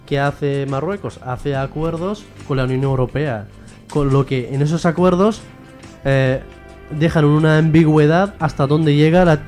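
A man from Western Sahara speaks as a guest in a political radio interview. His voice sits a little high, and he explains in a structured way, asking questions and answering them. Faint music plays behind him. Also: darkish tone. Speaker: guest, member of a Sahrawi association.

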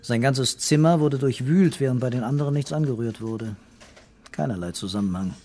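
An actor playing a middle-aged, dreamy and brooding police commissioner in a radio crime drama, talking calmly and deliberately. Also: male voice, mid-range voice, a little hiss or hum, lightly acted. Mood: matter-of-fact, musing. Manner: musing, calm, deliberate.

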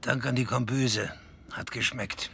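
An actor playing a gruff, blunt seaman in a radio play, teasing and mocking with salty sailor talk. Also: mid-range voice, steady tone, measured pace, pushed and bright, full character voice.

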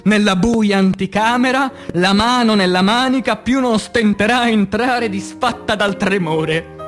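A man, an actor, reciting poetry in a declamatory, impassioned theatrical style that swings between hushed and loud. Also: high-pitched, measured pace. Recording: music under the voice. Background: music.

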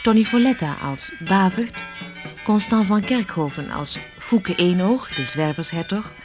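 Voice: mid-range voice, expressive, measured pace, over music. An announcer reading scripted closing credits for a radio drama.

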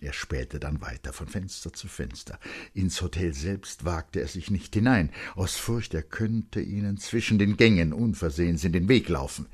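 Deep, husky male literary narrator. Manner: expressive, suspenseful, deliberate phrasing. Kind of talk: dramatic literary radio narration.

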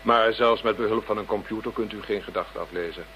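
An actor playing a self-assured, detached parapsychology expert in a Dutch radio drama, with a precise, lecturing manner. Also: male voice, lowish voice, steady tone, quick pace, pushed and bright. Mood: firm, impatient. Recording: muffled, noisy old radio drama recording.

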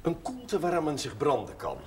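A man's voice: on the high side, wildly swooping pitch, normal speed, darkish tone. An actor playing an urbane, self-assured clergyman in a television drama, with a dry, ironic and formal manner. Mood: dryly amused. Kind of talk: television period drama dialogue. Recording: noisy, echoey 1970s television audio.

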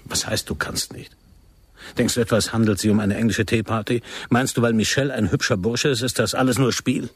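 An actor in a German radio play playing a commanding agent who poses as a fervent militant lover, speaking gravely and insistently. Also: male voice, mid-range voice, fairly even intonation, brisk, dry recording, lightly acted. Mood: stern, indignant.

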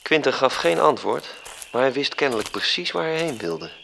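A man's voice: medium pitch, big pitch swings, moderate pace, gravelly, pushed and bright, a little hiss or hum, exaggerated voice. An actor narrating a radio drama as an angel telling a story to his superior. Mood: calm.

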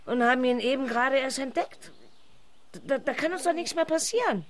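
A fairly high-voiced, stressed woman in her forties, acted by a woman, bossy and confrontational in a radio play. She talks brusquely and schemes, over clear background noise. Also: fairly quick, lightly acted. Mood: scheming. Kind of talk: German radio drama acting.

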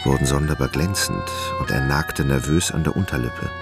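Super deep guy narrating a radio play, gravelly, dramatic storytelling, guitar music underneath. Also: normal speed. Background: plucked guitar music.

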